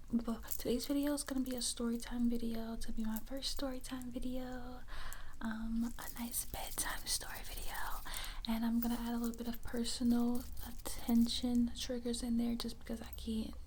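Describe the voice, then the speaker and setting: A woman in her twenties whispering an ASMR bedtime story in a casual, hesitant, conversational way with a breathy voice. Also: fairly high pitch, little pitch movement, normal speed, darkish tone, slight background noise. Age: 20s. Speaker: ASMR creator telling a story.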